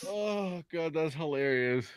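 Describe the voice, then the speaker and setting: A man's voice: on the high side, expressive, slow pace, clean tone, no echo, studio quality. A man co-hosting a baseball talk show in a casual, conversational style. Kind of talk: casual chat.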